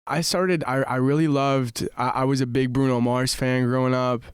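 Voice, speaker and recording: A man's voice: medium pitch, flat delivery, moderate pace, smooth, no echo, studio quality. A young man from Morocco, a musician guest chatting on a podcast and telling stories with lots of fillers and restarts. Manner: earnest storyteller, many fillers and restarts. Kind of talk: casual podcast chat. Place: podcast studio.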